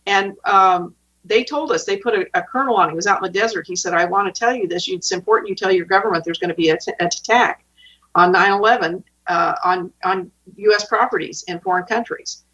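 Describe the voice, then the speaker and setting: A woman's voice: medium pitch, fairly quick, fairly bright tone. A woman giving interview testimony, cutting in to add details beside her partner. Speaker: guest. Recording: muffled distant lo-fi audio.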